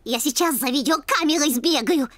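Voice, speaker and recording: A woman voicing a sly, teasing, girlish dog sister in an animated series. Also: sits high, normal speed, no echo. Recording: music under the dialogue.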